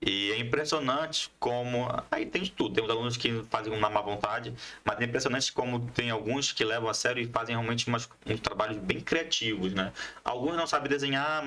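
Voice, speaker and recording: A man's voice: medium pitch, sharp tone. A man talking casually as an interview guest, explaining things with enthusiasm. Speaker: podcast guest, comics collective member and teacher.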